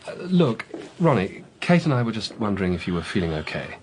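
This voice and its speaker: An awkward man of the theatre in a radio drama. His speech starts and stops hesitantly, with lively pitch and a snide edge. Some noise is audible behind him. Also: fairly quick. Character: awkward, faintly snide male supporting stage actor.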